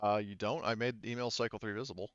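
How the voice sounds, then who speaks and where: A man talking casually as game master to his players in a halting, hedging way. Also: lowish voice, fairly even intonation, a bit bright, clear, resonant tone, no echo, dull audio. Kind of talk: casual game-running talk among players. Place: online voice session.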